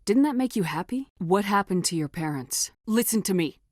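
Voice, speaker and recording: A woman's voice: medium pitch, animated, normal speed, darkish tone, no room sound, clean studio sound, natural voice. A woman playing a steely but compassionate military starship commander in a video game, speaking in a firm, direct and controlled way. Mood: gentle, probing. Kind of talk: scripted video game dialogue acting.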